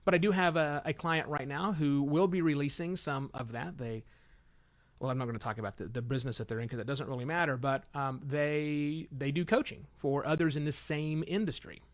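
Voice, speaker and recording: A middle-aged man hosting a solo show, giving informal advice in an animated, emphatic way with conversational asides. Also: medium pitch. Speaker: podcast host and consultant.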